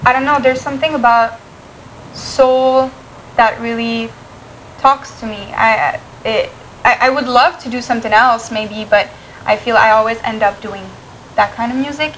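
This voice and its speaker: A young woman from Canada, a singer being interviewed, talking softly and hesitantly with many fillers. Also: a little high, steady tone, moderate pace, slight background noise, low-quality recording. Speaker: singer guest. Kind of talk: casual interview chat.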